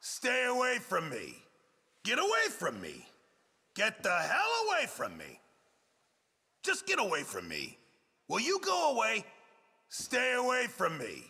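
An actor playing a gruff, fretful middle-aged camp cook in a Western video game, exasperated and husky. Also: male voice, high voice, measured pace, long pauses, long reverb tail, heavily acted. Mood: irritated. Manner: exasperated, excitable, swinging from mutters to shouts. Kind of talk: video game ambient character barks.

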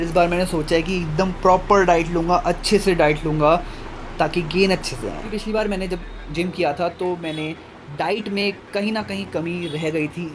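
A young man vlogs into a handheld phone with a casual, upbeat manner, addressing his viewers directly. His voice sits high for a man. Clear music plays behind him throughout. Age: young adult. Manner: chatty, upbeat, talks straight to viewers. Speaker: vlogger. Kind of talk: casual vlog chat to camera. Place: home room, indoors. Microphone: phone held at arm's length.

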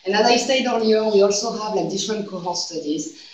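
A woman's voice: mid-range voice, a little fast, a little hiss or hum. A woman in her thirties with a French accent, giving an academic seminar talk and leaning on "like" as a filler. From France. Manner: non-native phrasing with frequent "like" fillers. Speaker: guest researcher presenting a seminar. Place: seminar room. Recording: echoey room, distant mic.

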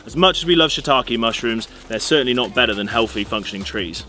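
A man's voice: medium pitch, lively intonation, quick pace, pushed and bright, faint music behind. A young British man presenting an upbeat step-by-step tutorial outdoors in a garden. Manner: upbeat, clear instructional delivery.

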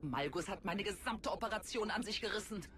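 An actress playing a haughty, sardonic villainess in a game, speaking in a theatrical, condescending way. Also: female voice, medium pitch, a little fast, a bit bright, slight background noise, natural voice. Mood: scornful, philosophical. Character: haughty, sardonic female Sith villain, wounded but scornful. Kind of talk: dramatic game voice acting.

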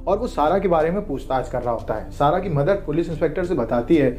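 A man's voice: fairly high pitch, animated, quick pace, a little mellow, a little hiss or hum. A man narrating a movie recap from a script, with music behind him. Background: background music.

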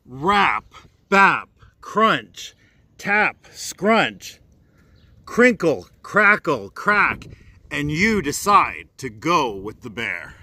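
A man reading a children's storybook aloud as narrator, with deliberate, dramatic phrasing. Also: a little high, big pitch swings, bright, forceful tone. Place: outdoors on a park trail.

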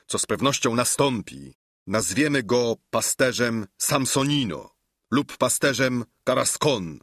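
A man's voice: medium pitch, a bit bright, no echo, clean studio sound. A man voicing a lofty, idealistic knight who speaks in grand, flowery phrases. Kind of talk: audiobook character reading.

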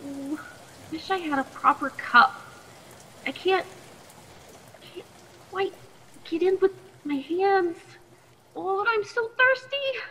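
A woman's voice: very high voice, lively intonation, slow pace, pauses often, bright, forceful tone, exaggerated voice. A woman playing a plucky, nervous, chatty teenage captive in a radio play, stammering politely in a reverberant recording. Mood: excited, desperate. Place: video call performance. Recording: long echoing reverb, faint noise.

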